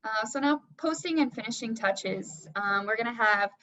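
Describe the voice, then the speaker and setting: A woman's voice: a little high, animated, moderate pace, bright, forceful tone, low-quality recording. A woman casually moderating a panel, handing over to the other speakers.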